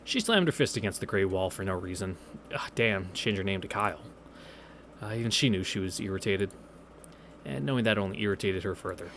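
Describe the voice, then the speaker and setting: A man's voice: low-pitched, expressive, fairly quick, fairly bright tone, slight background noise. A young adult man giving casual, sardonic commentary and reading aloud as he plays a visual novel. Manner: sardonic, sweary, offhand. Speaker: game streamer reading through a visual novel.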